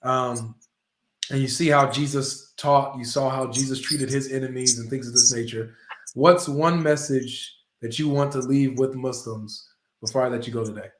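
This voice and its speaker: A man's voice: mid-range voice, fairly even intonation, moderate pace. A man hosting an interview, asking guiding questions in an affirming, brotherly way. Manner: affirming, brotherly, frequent short agreements. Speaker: host. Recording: echoey room sound.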